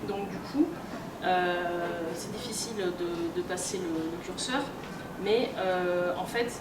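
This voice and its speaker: Woman lecturing informally, distant noisy room recording. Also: on the low side. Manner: colloquial, hesitant, loosely explanatory with many filled pauses. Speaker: speaker, role-playing game researcher and editor. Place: indoor room at a games convention. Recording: roomy, distant, noisy live convention recording.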